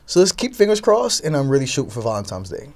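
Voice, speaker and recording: A man's voice: medium pitch, wildly swooping pitch, fast talker, a little mellow. A young adult man speaking conversationally as a guest answering interview questions. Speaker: talk show guest, entrepreneur.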